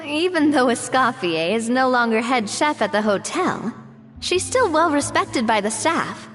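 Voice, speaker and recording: A woman playing a poised, friendly young female companion in a video game, explaining things in a measured, thoughtful way. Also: high-pitched, expressive, fairly bright tone. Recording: reverberant, with music behind.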